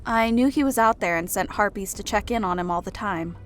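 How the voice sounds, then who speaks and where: A woman's voice: mid-range voice, a little fast, lightly acted. A woman acting a chatty character in audio story dialogue. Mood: matter-of-fact. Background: music.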